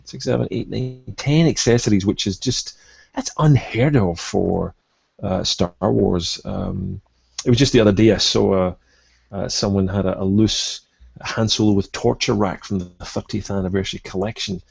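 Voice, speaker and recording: A man's voice: fairly low pitch, normal speed, vocal fry, slightly rough, no room sound. A man co-hosting a collecting podcast in casual chat, agreeable and enthusiastic about details. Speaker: co-host of a toy collecting podcast. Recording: dull, muffled lo-fi audio.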